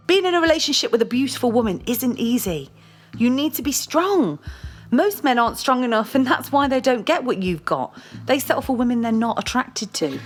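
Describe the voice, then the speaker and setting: An actress playing a blunt, domineering, self-assured girlfriend who scolds and swears in an audio drama. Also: high voice. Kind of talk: dramatic audio play dialogue.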